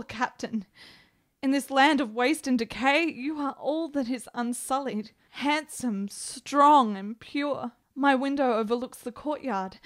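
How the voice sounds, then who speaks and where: A very high-pitched, sickly woman, hopelessly in love. She pleads in florid, melodramatic phrases, desperate and devoted, in the manner of a nineteenth-century period radio play. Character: sickly, lovestruck, desperate woman in 1870s Italy. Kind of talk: period radio drama acting.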